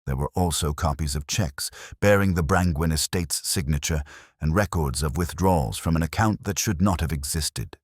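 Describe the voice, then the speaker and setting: A man's voice: rumbling low, normal speed, breathy edge, no echo, studio quality. A man reading a scripted mystery story as its narrator.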